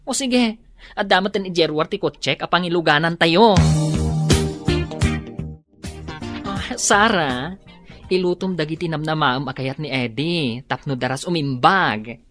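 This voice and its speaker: A woman playing a steady, reassuring friend who explains and advises in a radio drama, with an Ilocano Filipino accent. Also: low voice, normal speed, music in the background. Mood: reasoning.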